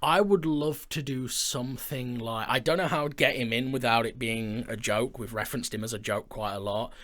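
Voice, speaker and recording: A man's voice: medium pitch, normal speed, fairly bright tone. A man chatting casually and enthusiastically as an audio drama creator, with a jokey manner. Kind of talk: casual chat.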